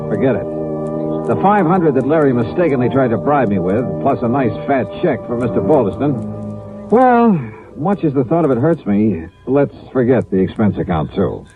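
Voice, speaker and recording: An actor plays a tough, wry male detective in an old radio serial. His mid-pitched voice moves expressively through clipped, sardonic lines. The recording is thin and tinny. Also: big pitch swings, normal speed, over music, natural voice. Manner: clipped, sardonic, hard-boiled. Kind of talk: 1950s radio detective acting.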